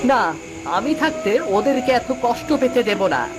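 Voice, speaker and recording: A woman's voice: fairly high pitch, sing-song, measured pace, loud music behind the voice. A woman voicing a brave little boy in a cartoon, speaking in an earnest, imploring way. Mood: defiant. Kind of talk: cartoon voice acting.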